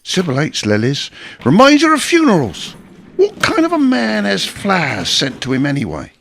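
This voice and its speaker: A man acting in a radio drama as a gruff, anxious, haunted older war veteran. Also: sits high, wildly swooping pitch, moderate pace, fairly bright tone, slight background noise, exaggerated voice.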